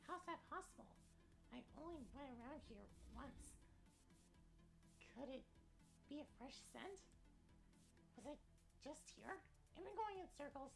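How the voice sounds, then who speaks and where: A woman's voice: high-pitched, lively intonation, a little slow, creaky, long pauses, music in the background, voice pushed up, full character voice. A woman voicing an anxious, self-mocking lost young male cat in a visual novel, narrating dramatically and fretfully. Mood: panicked.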